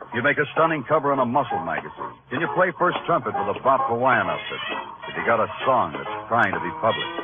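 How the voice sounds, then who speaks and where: Wry hard-boiled detective, old radio sound. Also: male voice, mid-range voice. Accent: American. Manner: wry, clipped, world-weary. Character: hard-boiled male New York police detective narrating his case. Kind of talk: 1950s radio crime drama acting. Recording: muffled vintage radio broadcast with music.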